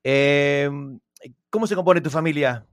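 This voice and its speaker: A man's voice: a little high, unhurried, no room sound, studio quality. A man with an Argentine accent hosting a conversational interview, circling and rephrasing as he builds his questions. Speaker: show host, interviewer.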